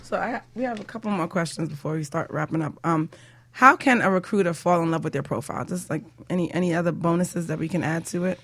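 A woman's voice: on the low side, quick pace, a bit bright, breathy edge, no room sound. A woman hosting a talk show, interviewing guests in a casual style.

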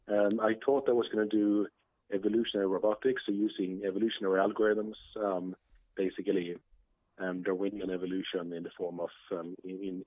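A man with a Swedish accent speaks as an interview guest, his voice sitting on the low side. He talks in a halting way, with frequent fillers and restarts. The sound is dry and squeezed into a narrow, phone-like band. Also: no echo. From Sweden. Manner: hesitant, many fillers and restarts. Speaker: guest, computer science professor. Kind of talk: conversational interview. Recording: tinny phone-like line.

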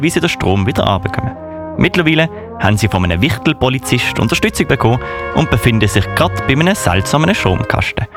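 A man hosts a radio show in a Swiss accent, recapping a story for listeners. His medium-pitched voice moves in a very animated way over a clear music bed. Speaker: radio host. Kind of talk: studio presenting, story recap.

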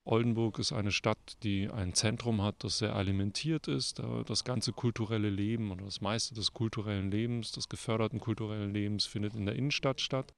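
A man's voice: lowish voice, steady tone, brisk, gravelly, hardly any pauses, smooth. A man giving spontaneous explanations in an interview, searching for words in short phrases. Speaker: theatre director, interviewee.

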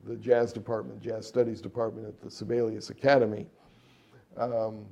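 A middle-aged man talks about his music career in a relaxed, meandering interview. His voice is gravelly and dark, with an even, flat delivery. He pauses often on fillers and restarts his sentences. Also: lowish voice, dull audio. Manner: rambling, many fillers and restarts. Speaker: jazz trumpet player and composer.

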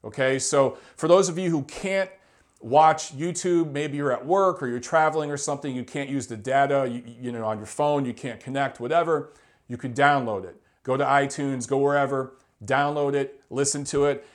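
A man's voice: mid-range voice, moderate pace. Chatty male show host. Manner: relaxed and chatty, with fillers. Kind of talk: casual talk to camera.

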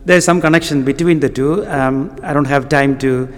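A middle-aged man from Kerala, India, with a Malayali Indian accent and Malayalam as his first language, preaching a sermon as a guest, halting with frequent ums. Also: a little high, a little hiss or hum. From Kerala, southern India. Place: church with long reverb. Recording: reverberant church hall.

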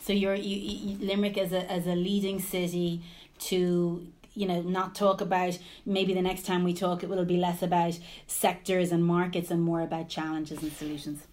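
A woman's voice: on the low side, little pitch movement, moderate pace, darkish tone. A woman hosting a podcast interview. She speaks enthusiastically and often repeats words as she starts a sentence. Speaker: podcast host.

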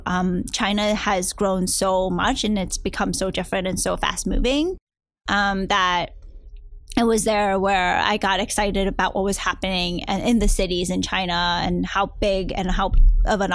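A woman's voice: medium pitch, a bit bright, no room sound. A woman in her thirties with an American accent, giving conversational answers as an interview guest. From United States, New Jersey and New York area. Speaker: interview guest, venture capitalist.

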